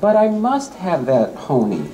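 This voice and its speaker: A whiny little girl from a storybook poem, as a man reads her aloud. She pleads and insists in a raised pitch with emphatic nagging. Faint room noise sits behind. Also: wildly swooping pitch, measured pace, dark tone, heavily acted, rough recording. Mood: pleading.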